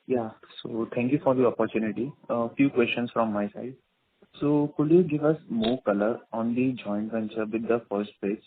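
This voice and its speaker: A man's voice: medium pitch, normal speed. A man with an Indian accent asking questions as an analyst on an earnings conference call. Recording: phone-like narrow band.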